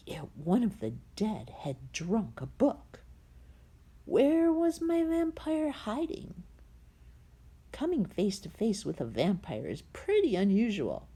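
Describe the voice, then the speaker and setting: A woman reads a children's storybook aloud with very lively, swooping intonation. Her voice is husky and soft-edged, and she takes her time, leaning into suspense with hushed, breathy drops. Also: medium pitch. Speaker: storybook reader.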